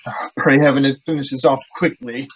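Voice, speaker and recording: A man's voice: medium pitch, steady tone, normal speed, a bit bright, lo-fi sound, lightly acted. A man acting an impatient, hot-headed young sweetheart in a theatrical, melodramatic radio drama style. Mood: urgent. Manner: melodramatic, indignant.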